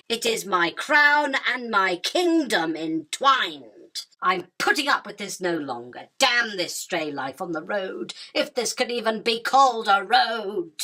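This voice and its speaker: Woman acting an imperious, irritable spider queen, bright-voiced. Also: medium pitch, exaggerated voice. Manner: imperious, haranguing, florid insults. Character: bossy, irritable, ageing female spider longing for her lost throne. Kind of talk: theatrical radio drama acting.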